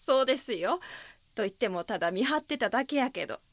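A casual local woman with a Kansai accent in an audio drama. She is candid and a little dismissive, in a lightly high voice with a close, dry sound. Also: expressive, quick pace, no echo. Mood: friendly. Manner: candid, offhand. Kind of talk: Japanese audio drama dialogue.

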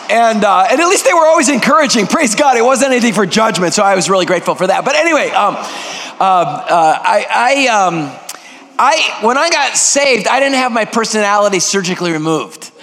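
An older man preaches with a noisy, energetic delivery, cracking jokes between points. His voice sits high for a man. It rings with the long reverb of a big church hall. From Greek heritage. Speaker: guest preacher. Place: large church sanctuary.

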